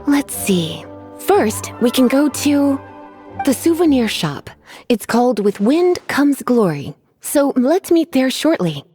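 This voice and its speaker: A woman's voice: high-pitched, sing-song, dark tone, faint music behind, heavily acted. A woman playing a languid, teasing librarian in a video game, coy and polite with menace underneath. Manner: coy, sighing, drawn-out politeness. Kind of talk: English video game voice acting.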